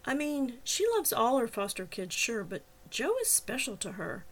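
A woman's voice: fairly high pitch, sing-song, moderate pace, poor audio. A woman voicing an angry, stubborn young woman in an audiobook, speaking in an emphatic, protesting way. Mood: forced calm. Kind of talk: audiobook character dialogue.

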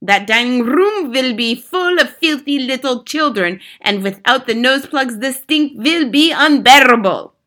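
An imperious, venomous witch ruler from a storybook. Her voice is high and piercingly forceful, swooping theatrically as she commands and shrieks at her underlings. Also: animated, a little slow, hardly any pauses, exaggerated voice. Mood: commanding. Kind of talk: audiobook character acting.

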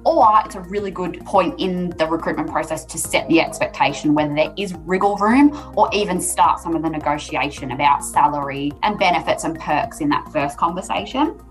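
A woman's voice: low-pitched, fairly quick, few pauses, faint music behind, poor audio. A woman working as a recruiter, giving conversational advice on a podcast.

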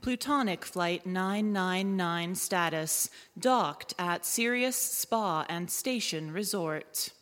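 An impassive ship's computer, reads data logs in a slow, robotic manner. The voice is bright and rings through a large hall, as in a live science fiction audio drama. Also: female voice, lowish voice. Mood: flat, impassive. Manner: slow, measured, robotic delivery. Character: female ship's artificial intelligence reading out data logs, impassive. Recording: echoey hall, live stage.

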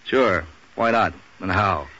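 An actor playing a street-wise, cynical hard-boiled hero with deadpan wisecracks in 1940s radio noir. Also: male voice, sits low, slow, full character voice. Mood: wry, tough. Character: Pat Novak, street-wise cynical male hard-boiled boat renter. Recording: muffled, hissy old radio recording.

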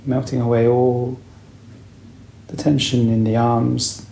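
A man leading a guided meditation as a teacher, calm, unhurried and soothing in his instruction. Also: medium pitch, creaky, echoey room, slight background noise, low-quality recording.

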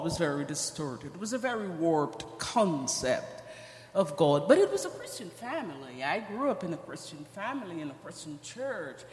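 An older woman with a Guyanese accent shares her testimony from a church stage. She speaks very slowly with lively, wide-ranging intonation. The big room adds long, obvious reverb around her voice. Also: mid-range voice. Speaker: church guest speaker sharing personal testimony. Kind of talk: live personal testimony to a congregation.

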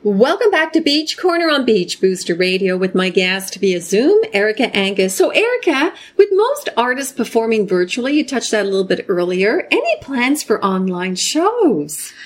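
A woman hosts a conversational radio interview. Her voice sits a little high and moves with very lively intonation. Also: moderate pace, hardly any pauses, slight background noise. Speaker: radio show host.

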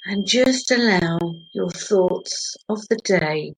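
A woman leading a guided sleep meditation in a soothing, gentle, hushed manner, pausing between short phrases. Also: mid-range voice, lively intonation, very noisy. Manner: soothing, hushed, gentle instruction with long pauses.

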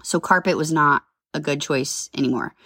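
This is a woman in her thirties chatting on her own podcast, upbeat and full of side notes. Her voice sits low, and a cold leaves it a little nasal and congested. The sound is dry and close, with no room around it. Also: moderate pace, pushed and bright, no room sound. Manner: chatty, upbeat, rambling with asides. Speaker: podcast host. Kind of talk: casual solo podcast chat.

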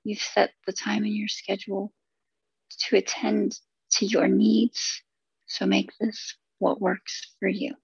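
A woman guides a relaxation session in a husky voice thick with vocal fry. She speaks soothingly in short, unhurried phrases and leaves long pauses between them. The recording is dull and very dry. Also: mid-range voice, level tone, no echo. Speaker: meditation guide.

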